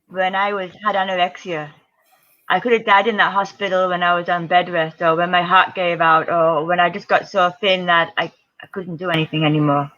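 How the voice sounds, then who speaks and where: A middle-aged woman tells stories as an interview guest, lively and acting out the people she quotes. Her voice is bright and forward. The audio is dull and lo-fi, like a remote call. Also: lowish voice. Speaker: guest ultra-distance triathlete. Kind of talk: casual interview storytelling.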